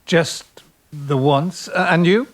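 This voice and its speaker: An actor playing a tender, smitten middle-aged man in an intimate audio drama, speaking in a halting, gently wry way. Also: on the high side. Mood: wry, gentle.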